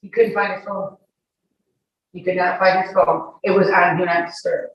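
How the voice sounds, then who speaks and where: A woman giving testimony in court, defensive and argumentative, cutting in and restarting her answers haltingly. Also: fairly low pitch, flat delivery, dull audio. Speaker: witness testifying. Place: courtroom hearing. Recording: echoey courtroom, distant, noisy microphone.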